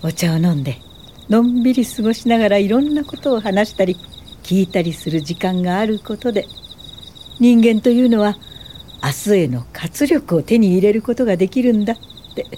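An actress playing a kindly, dignified elderly woman professor in a radio drama, speaking in a gentle, measured, instructive way. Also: medium pitch, lively intonation, slight background noise, natural voice. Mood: gentle, reflective. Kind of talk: Japanese radio drama acting and narration.

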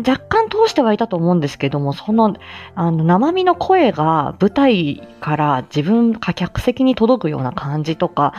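Low-voiced woman in casual rambling chat. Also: darkish tone. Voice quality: mature, low-set voice. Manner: chuckles often between phrases. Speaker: live stream host and amateur voice actor. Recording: dull lo-fi audio, faint music behind.

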